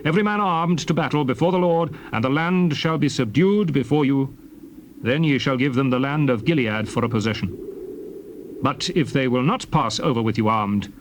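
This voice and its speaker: A man's voice: medium pitch, close to an everyday voice. An actor playing a stern, indignant prophet and leader, declaiming solemnly in a dramatized King James Bible. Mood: solemn command. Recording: noisy old cassette tape.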